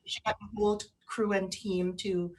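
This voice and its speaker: A woman co-hosting a radio show in casual chat, halting and often repeating herself. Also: mid-range voice, flat delivery, rough recording.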